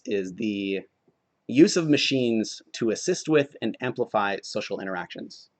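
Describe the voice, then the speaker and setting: A man in his thirties with a cold and a croaky voice, giving a relaxed, joking tech talk to a meetup audience. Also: mid-range voice. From Oregon, United States. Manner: relaxed, self-deprecating humor, frequent "uh". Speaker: conference speaker. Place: meetup venue before an audience.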